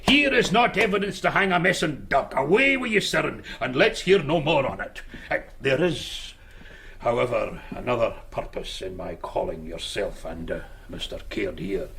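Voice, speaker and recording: A man's voice: mid-range voice, lively intonation, normal speed, creaky, bright, forceful tone, a little hiss or hum. A man playing a stern, pompous senior Scottish churchman in a radio drama, scolding in formal archaic speech. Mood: outraged. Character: stern, blustering senior Scottish churchman presiding over an inquiry.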